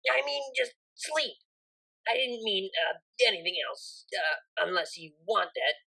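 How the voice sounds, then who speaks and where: A woman's voice: mid-range voice, lively intonation, measured pace, creaky, pushed and bright, exaggerated voice, rough recording. Woman voicing an awkward, stammering young unicorn mare. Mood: awkward, hesitant. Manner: hesitant, stammering, informal. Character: awkward, flustered, smitten young female unicorn DJ pony.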